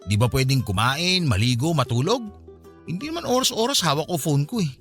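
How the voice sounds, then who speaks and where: A man's voice: on the high side, very animated, fairly quick, fairly bright tone, faint music behind. A man acting a frustrated young boyfriend in a Filipino radio drama, venting in a conversational, complaining way with a Filipino accent. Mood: exasperated.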